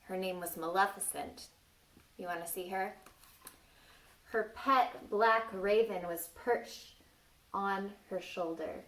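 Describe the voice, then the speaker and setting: A woman's voice: medium pitch, takes it slowly, long pauses, fairly bright tone. A woman reading a story aloud to young children, playfully stopping to ask them questions and exclaim. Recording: distant microphone.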